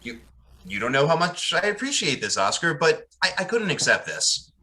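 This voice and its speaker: An earnest young pastor played by a man with a highish, bright voice and hugely varied intonation. He is deferential and hesitant, in the emphatic manner of old-time radio drama. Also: big pitch swings, normal speed, full character voice. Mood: concerned, grateful.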